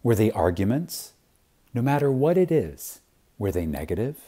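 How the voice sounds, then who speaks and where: A man reading an inspirational spiritual lecture aloud in a reverent, dramatic way, with long pauses and strong emphasis. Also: on the low side, very animated, warm tone, husky.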